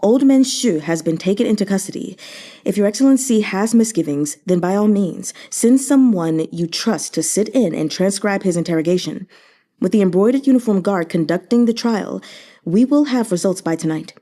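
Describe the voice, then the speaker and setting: A woman voicing a shrewd, unreadable male commander in a historical novel, calm, formal and deliberate. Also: mid-range voice, lightly acted. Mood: composed, shrewd.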